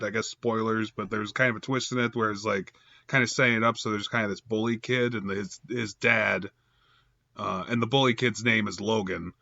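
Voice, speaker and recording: A man's voice: mid-range voice, vocal fry, pushed and bright, dry recording, dull audio. A man with a stuffed-up cold voice talking casually and rambling, full of fillers and self-corrections. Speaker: comic book reviewer. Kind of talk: casual improvised review.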